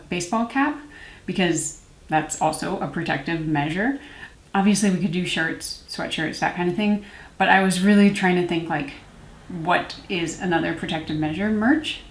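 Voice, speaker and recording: A woman in her thirties chatting informally to camera in a casual product review, with self-deprecating asides. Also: lowish voice. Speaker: beauty vlogger, series host. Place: small room at home, indoors. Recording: roomy indoor echo.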